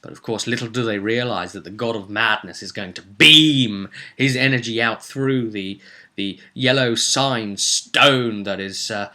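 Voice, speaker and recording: A man's voice: lowish voice, a little slow, pushed and bright. A man recapping a tabletop roleplay session as game master, telling it theatrically with casual asides.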